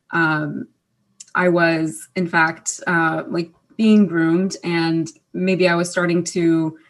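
A young woman on a panel telling a personal story hesitantly, with many fillers and pauses. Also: lowish voice.

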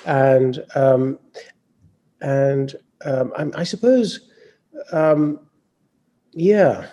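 A man who is a novelist speaking as a panel guest, halting and reflective, with stammered repeats. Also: medium pitch, lively intonation, warm tone, highs cut off. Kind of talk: panel discussion.

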